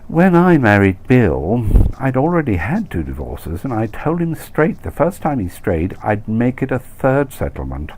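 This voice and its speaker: Man voicing a gossipy expat wife, lifted pitch. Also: wildly swooping pitch, measured pace, hardly any pauses, background noise. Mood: blasé, advising. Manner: gossipy, cliché-laden, condescending advice-giving. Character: Jill, chatty, nosy middle-aged expat wife, worldly and blasé. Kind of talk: acted dialogue in comic short story reading.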